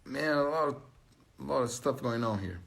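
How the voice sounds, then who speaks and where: A man from Brazil speaking with a Brazilian Portuguese accent, chatting casually on a live stream as he reads viewer comments. He talks at an unhurried, slow pace and often stops to restart and search for the right word. His pitch sits in a middle range. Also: creaky, noisy background. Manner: halting, searching for words, many restarts.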